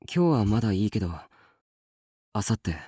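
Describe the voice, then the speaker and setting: A troubled teenage boy protagonist in visual novel style. He is intense and emotionally volatile, with very lively pitch movement. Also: quick pace, breathy edge, dry recording, clean studio sound. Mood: subdued. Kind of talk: Japanese visual novel voice acting.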